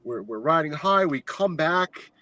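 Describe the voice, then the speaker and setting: A man as a podcast guest, telling a long fan story in a casual, animated, rambling way with lots of filler words. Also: on the high side, measured pace, no echo. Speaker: guest, fan sign maker.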